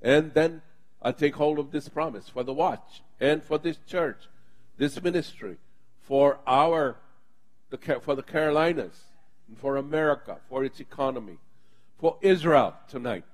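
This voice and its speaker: A man's voice: on the high side, normal speed. A man preaching and leading his congregation in prayer, speaking fervently and with emphatic bursts. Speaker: pastor.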